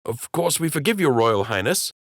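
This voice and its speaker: A man's voice: mid-range voice, sing-song, normal speed, no echo, clean studio sound, voice pushed up. A man voicing a loyal, reserved rabbit ship captain who speaks politely and respectfully in an audiobook.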